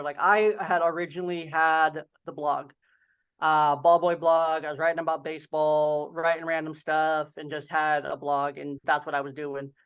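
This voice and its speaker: A man's voice: a little high, fairly bright tone, smooth. Young man with a slight lisp. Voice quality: slight lisp and occasional stutter. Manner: rambling, filler-heavy storytelling. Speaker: podcast host and guest. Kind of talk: casual video-call interview. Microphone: video call. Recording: dull lo-fi video call audio.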